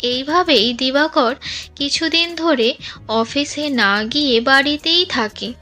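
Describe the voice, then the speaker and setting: A woman narrating a scripted cartoon story for children in a gentle storytelling manner. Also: sits high, takes it slowly, soft background music.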